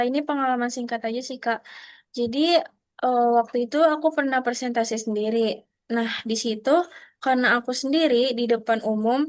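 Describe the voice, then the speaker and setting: A nineteen-year-old woman talks through a coaching session over a video call in a polite, casual way. She hesitates and uses small fillers. Her fairly high voice sounds close and dry. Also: no room sound. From Tangerang Selatan, Indonesia. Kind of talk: role-play coaching session.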